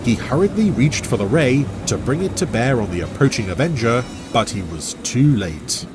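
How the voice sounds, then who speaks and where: A man reads a scripted adventure story as narrator. His mid-pitched voice moves with animated, dramatic intonation. Music plays clearly behind him. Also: moderate pace. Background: music and vehicle sound effects.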